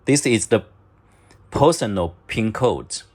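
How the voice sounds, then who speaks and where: A man narrating step-by-step instructions in halting, short clipped phrases, as a product demonstrator. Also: fairly low pitch, lively intonation, a little mellow. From China.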